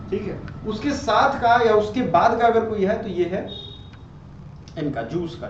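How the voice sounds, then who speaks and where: High-voiced Indian man lecturing in an echoey room. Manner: conversational, checks understanding with rhetorical tags. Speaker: online teacher. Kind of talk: informal explanatory current affairs lecture. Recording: muffled, roomy, distant mic, faint noise.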